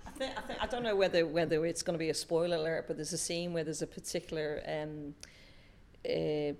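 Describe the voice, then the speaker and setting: A woman's voice: low-pitched, fairly even intonation, fairly quick, darkish tone. A woman with a Dublin Irish accent, an actor guest chatting casually and repeating words as she thinks. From Ireland. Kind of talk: casual chat.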